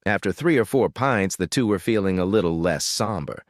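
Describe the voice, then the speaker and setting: A man's voice: deep voice, dry recording, studio quality. A man reading a string of jokes aloud, shifting his voice for the people in them. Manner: lively storytelling, quick shifts into character voices. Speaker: joke teller.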